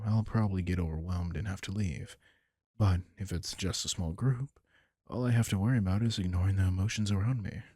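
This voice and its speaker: Man acting as deep, gravelly vampire king. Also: darkish tone, no room sound. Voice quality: gravelly, husky. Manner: unhurried, soothing, speaking intimately to one listener. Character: protective vampire king, gentle, powerful empath and mage. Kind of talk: intimate roleplay audio drama, comforting monologue.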